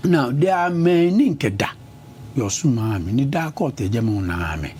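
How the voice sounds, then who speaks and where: Ghanaian man with a mellow voice. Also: mid-range voice, moderate pace, a little hiss or hum. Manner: switches between English and Ga, lists places at an even pace. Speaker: interview guest, politician. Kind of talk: conversational interview.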